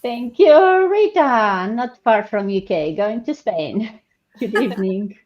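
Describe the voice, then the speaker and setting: A woman hosting a live online panel over a video call, chatting in a relaxed way with filler words. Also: fairly high pitch, slow pace. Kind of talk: casual presenting. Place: home, in Ontario. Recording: muffled lo-fi call audio.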